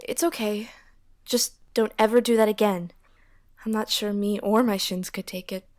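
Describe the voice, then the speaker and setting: Male narrator voicing a worried, gentle mother in a storytelling piece; soft and pleading, pitched up. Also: fairly even intonation, normal speed, lightly acted.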